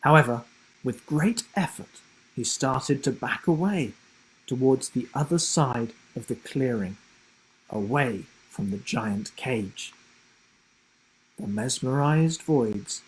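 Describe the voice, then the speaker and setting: Male author-narrator for children's fiction: slow, deliberate storytelling, breathy husky tone, expressive delivery. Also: medium pitch, rough recording. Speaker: author reading his children's book.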